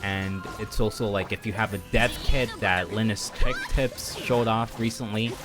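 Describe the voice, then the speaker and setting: A man's voice: on the low side, fairly even intonation, moderate pace. Casual man streaming, music behind him. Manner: opinionated, informal, exasperated ranting. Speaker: game livestreamer. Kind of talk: casual rambling stream chat about games. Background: background music.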